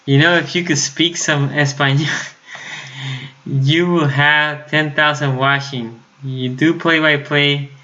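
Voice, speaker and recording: Puerto Rican man, bright voice, lo-fi audio. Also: a little high, steady tone, slow pace. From Puerto Rico. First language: Spanish. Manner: casual, talks to chat with frequent restarts. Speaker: sports YouTube host. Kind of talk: live stream draft analysis, reading chat.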